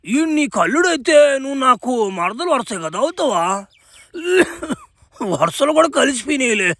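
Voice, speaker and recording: A man's voice: extremely high pitch, very animated, unhurried, fairly bright tone, no room sound, heavily acted. A man voicing a bossy, foul-mouthed village king in a comic cartoon dub, crude one moment and mock-formal the next. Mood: earnest, bargaining.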